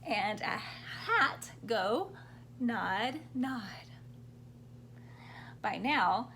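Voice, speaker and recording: A woman storyteller reads to young children with a very animated, fairly high voice. She takes it slowly and invites listeners to join in, with faint noise in the recording. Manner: interactive, prompting children with questions and sound words. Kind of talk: expressive read-aloud storytelling for young children.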